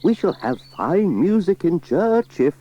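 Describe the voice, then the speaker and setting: A man voicing a kindly, pious older village vicar in a storybook reading, with drawn-out exclamations. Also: sits high, very animated, warm tone, voice pushed up, full character voice. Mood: gushing, encouraging. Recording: muffled, hissy cassette transfer.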